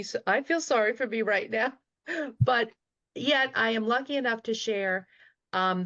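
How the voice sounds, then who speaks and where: A woman's voice: medium pitch, fairly bright tone, no room sound, lo-fi sound. A woman with a Boston accent giving earnest, fond remarks as a guild director on a video call.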